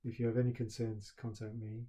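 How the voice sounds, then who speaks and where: A middle-aged man with a soft voice, a university professor speaking haltingly to his students at the start of term, with many pauses and restarts. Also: fairly low pitch, monotone.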